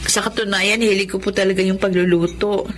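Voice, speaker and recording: A woman's voice: mid-range voice, fairly even intonation, a little fast, slight background noise, close to an everyday voice. A woman playing a modest, respectful thirty-year-old housemaid with a polite, deferential manner. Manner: polite, deferential, soft-hearted.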